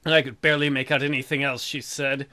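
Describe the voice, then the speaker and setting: An irreverent gamer reading a visual novel aloud with crude, animated joking commentary. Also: medium pitch, fast talker. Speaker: let's player. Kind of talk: joking playthrough commentary and dramatic read-aloud.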